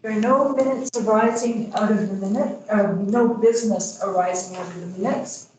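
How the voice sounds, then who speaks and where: A woman chairing a board meeting, running formal procedure in a deliberate way with frequent hesitations. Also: mid-range voice, darkish tone, a little hiss or hum. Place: school board meeting room. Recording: distant mic in an echoey meeting room.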